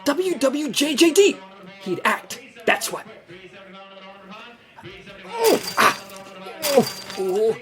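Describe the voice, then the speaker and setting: An earnest, jittery male agent in a comic radio play. He is fussy and stammers to himself, the pitch jumping about in a very animated way, with faint music underneath. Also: extremely slow, pauses often, heavily acted. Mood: strained. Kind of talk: comedic audio drama acting.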